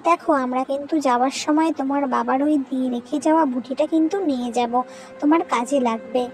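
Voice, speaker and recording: A woman playing a young village bride in an animated moral story, practical and wary, urging and chiding her husband. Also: sits high, fast, faint music behind, natural voice. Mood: resolute, chiding.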